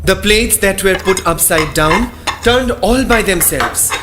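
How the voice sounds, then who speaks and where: A man playing a frightened young man who recounts his nightmare in a horror radio play, with dramatic, deliberate delivery. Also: high voice, animated, noisy background. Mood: uneasy. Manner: slow, dramatic, deliberate storytelling. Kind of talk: first-person horror radio drama narration. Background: dramatic music.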